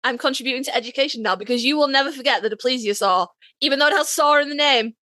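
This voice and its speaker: A woman's voice: high-pitched, racing, crowd noise. A woman bantering casually as a podcast co-host, emphatic, geeky and enthusiastic.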